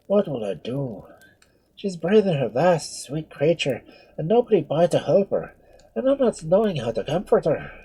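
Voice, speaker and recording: Man putting on a portly irish priest, loud brogue, husky raised voice, kindly and fussing. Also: on the high side, very animated, normal speed, voice pushed up, exaggerated voice, poor audio. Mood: panicked. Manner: loud, bustling, fretful.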